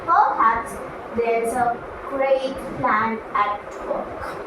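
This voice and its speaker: A woman voicing an earnest, devout farmer as a puppet guest in a scripted show, with halting, deliberate phrasing. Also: on the high side. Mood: reverent, earnest. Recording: very echoey room, distant mic, noisy.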